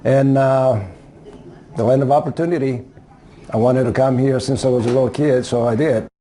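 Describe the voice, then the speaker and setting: A man in his sixties from Greece, answering interview questions in a casual, hesitant way with many pauses and fillers. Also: mid-range voice, steady tone, warm tone, slight background noise. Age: 60s.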